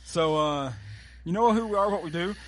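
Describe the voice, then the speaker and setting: A man co-hosting a casual podcast, leading the conversation in an easygoing, drawn-out way. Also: high voice. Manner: relaxed, drawling, leads the segments. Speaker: podcast co-host. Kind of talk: casual chat.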